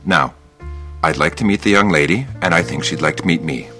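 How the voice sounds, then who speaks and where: An actor playing an imperious political aide and attorney in a radio drama, formal, clipped and condescending. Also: male voice, rumbling low, a little fast, music in the background. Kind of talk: radio crime drama dialogue.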